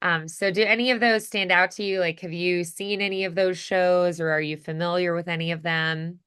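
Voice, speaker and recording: A woman's voice: on the low side, steady tone, moderate pace, fairly bright tone, clean tone. A woman teaching voice acting in a casual one-on-one chat, thinking aloud hesitantly and saying "like" often.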